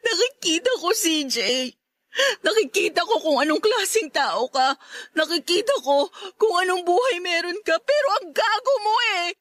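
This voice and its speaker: A desperate young Filipina in love, in a radio drama. Her voice is very high and tearful, pleading insistently with raw emotion, recorded close and dry. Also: big pitch swings, moderate pace, fairly bright tone, no echo, exaggerated voice. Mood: earnest pleading. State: tearful, crying.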